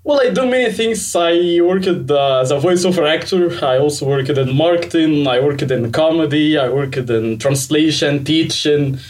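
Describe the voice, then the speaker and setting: A man's voice: on the high side, normal speed, hardly any pauses. Cheerful Egyptian man, distant mic. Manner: cheerful, laughs often, shy. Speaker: caller. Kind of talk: casual chat.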